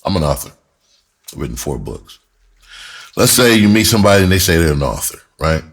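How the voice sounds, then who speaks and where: Low-pitched American male, middle-aged, raspy husky tone, casual storytelling, blunt, profane, emphatic slang. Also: sing-song, brisk. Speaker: screenwriter telling his own story. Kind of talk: casual first-person storytelling to camera.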